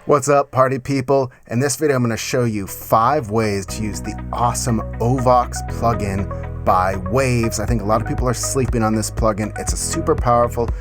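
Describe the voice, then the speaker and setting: A man's voice: medium pitch, fairly even intonation, normal speed. A man in his thirties giving an informal, enthusiastic, slangy music production tutorial on a close studio microphone in a small home studio. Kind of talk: casual instructional video presenting. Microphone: close studio microphone with pop filter.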